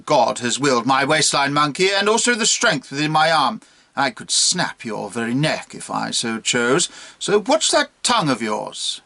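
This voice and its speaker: A man's voice: medium pitch, very animated, normal speed, creaky, pushed and bright, exaggerated voice. A man voicing a pompous, blustering fat old bishop, declaiming in a dramatic poetry reading. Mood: threatening, indignant.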